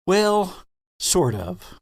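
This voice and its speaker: Slow, deliberate man as game master. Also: high-pitched, very animated, no echo, clean studio sound. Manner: deliberate, suspenseful pauses. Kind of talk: dramatic, narrating a tabletop game.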